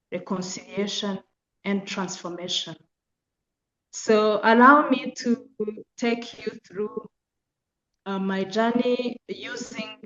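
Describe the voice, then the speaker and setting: Slow-speaking Rwandan woman in an echoey room. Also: medium pitch. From Eastern Rwanda. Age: middle-aged. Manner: measured, halting, with deliberate phrasing. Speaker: guest lecturer, genocide survivor and foundation deputy director. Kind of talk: personal testimony in a public lecture. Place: university lecture room. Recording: muffled, echoey room sound.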